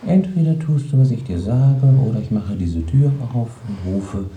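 A bossy, exasperated woman in a radio play, with a very dark tone and big swings of pitch. She scolds impatiently in a roomy space. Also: expressive, normal speed, dull audio. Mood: exasperated, scolding. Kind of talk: German radio drama acting.

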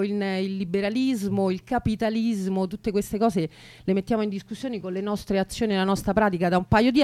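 A woman's voice: medium pitch, darkish tone, no room sound, studio quality. A woman presenting a theatre's radio programme informally, rambling a little and with a wry touch.

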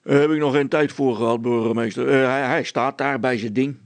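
A man's voice: mid-range voice, steady tone, fairly quick, no echo, close to an everyday voice. A man voicing a dutiful village constable for a storybook, formal and deferential to his superior. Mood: apologetic.

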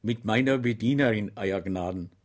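A man's voice: on the low side, moderate pace, lightly acted. A man voicing a simple-minded, cheerfully earnest soldier who answers in innocent, meek tones.

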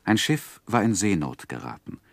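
A deep-voiced, sorrowful gentleman looks back on his life. His delivery is measured and solemn, like literary radio drama, with a dry, close sound. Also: very animated, creaky, no echo. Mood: solemn grief. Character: grieving, reflective gentleman narrating his life story. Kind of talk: literary radio play narration.

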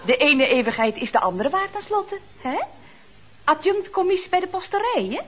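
A woman's voice: high voice, sing-song, moderate pace. A woman playing a chatty, ironic secretary at a building firm in a Dutch radio comedy serial, speaking with arch, mock-polite expressiveness. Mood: arch, teasing. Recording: noisy old radio recording.

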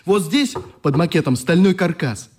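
An actor playing a passionate young leader of a children's club in a radio play, articulate and commanding. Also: male voice, on the high side, animated, normal speed, darkish tone, natural voice. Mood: indignant, scornful.

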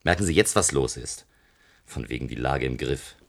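An actor playing a brusque, impatient army colonel in a German radio play, speaking emphatically and curtly. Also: male voice, a bass voice, lively intonation, moderate pace, gravelly, heavily acted. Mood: impatient, urgent. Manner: emphatic, curt, swearing, commanding.

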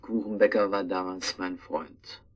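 An actor in a German radio drama playing a guilt-ridden, cowardly man who is groggy and hungover, speaking with a heavy, halting tongue. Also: sits low, fairly even intonation. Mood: sombre, confessing. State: groggy, hungover after drink and pills.

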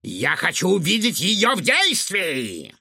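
A man voicing a bossy male villain who barks orders in a raised, high voice. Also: wildly swooping pitch, slow, gravelly, bright, forceful tone, slightly rough, no echo, clean studio sound, exaggerated voice. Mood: commanding. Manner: barking commands. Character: bossy blaster-waving male villain doctor.

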